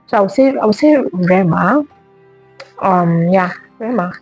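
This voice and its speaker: A woman's voice: mid-range voice, expressive, measured pace, breathy edge, lo-fi sound, faint music behind. A young woman vlogger chatting casually to camera, answering questions and repeating herself with lots of fillers.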